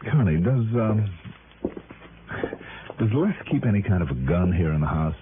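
A vintage radio drama investigator, with a low American voice. He is calm but persistent and suspicious, asking in short probing phrases. The recording is narrow and tinny, with noticeable hiss. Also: normal speed. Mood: uneasy, hesitant. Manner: probing, clipped short questions. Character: calm, persistent, suspicious male investigator questioning a friend's wife. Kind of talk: mid-century American radio drama acting.

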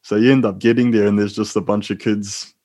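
A man with a New Zealand accent talks as a podcast guest, loose and unhurried. His voice sits a little on the low side. He rambles and keeps calling the host bro. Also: level tone, darkish tone. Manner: laid-back, rambling, calls the host bro. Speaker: guest, former prisoner. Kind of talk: casual interview talk.

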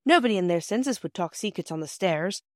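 A woman reading the part of an eager, brisk young boy in an audiobook. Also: mid-range voice, dry recording, clean studio sound, close to an everyday voice. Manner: brisk, excitable, indignant.

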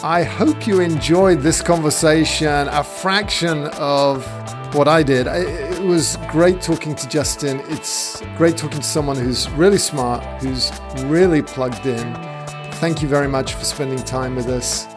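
A man raised in England, hosting a podcast interview in a casual, halting way full of fillers and restarts. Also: on the high side, music in the background. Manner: hesitant, many fillers and restarts. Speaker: podcast host.

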